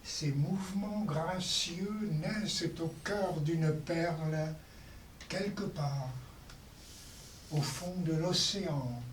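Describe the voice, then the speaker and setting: A performer recites poems in a declamatory manner, leaving long pauses between short phrases. The delivery is extremely slow and deliberate. The voice is picked up from a distance with faint noise around it. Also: mid-range voice, roomy sound. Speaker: performer reading poems in a show. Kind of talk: dramatic poetry recitation.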